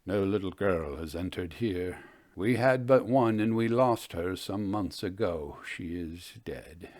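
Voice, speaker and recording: Man reading as a slow, grieving cottager father. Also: low-pitched, a little mellow, no echo, studio quality, voice pushed down. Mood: desponding. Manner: slow, solemn, halting. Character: solemn, grieving Victorian Welsh cottager mourning his daughter.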